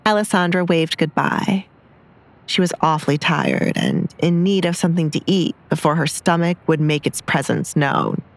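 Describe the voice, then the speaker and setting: A woman's voice: low-pitched, moderate pace, vocal fry. A woman reading scripted dramatic story narration.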